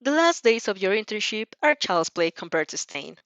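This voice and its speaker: Woman, animated pitch, expressive story reading, giggly casual asides, stumbles and rereads, close dry dull recording. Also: moderate pace, no echo. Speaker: fan fiction reader and reactor. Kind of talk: expressive read-aloud of fan fiction with casual reactions.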